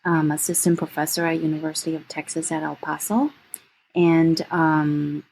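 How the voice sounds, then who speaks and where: Woman, low voice, gravelly, hesitant. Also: steady tone, normal speed, a little mellow, slight background noise, low-quality recording. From Taiwan. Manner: hesitant, searches for words. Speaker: guest, assistant professor.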